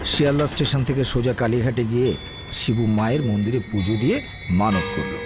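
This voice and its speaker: A man's voice: medium pitch, a little fast, over music. A man narrating a scripted comic audio drama as a dramatic storyteller.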